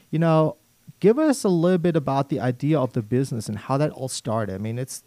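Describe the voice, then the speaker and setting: A man with a German accent hosts a live radio talk show in a studio. He sounds upbeat and chatty and laughs often. His voice sits at an average pitch, and the sound is dry and close. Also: mellow, no room sound.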